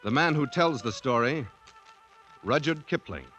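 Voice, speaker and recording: A man announcing a 1950s radio drama in a measured, declamatory style. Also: mid-range voice, expressive. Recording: vintage radio broadcast over loud music.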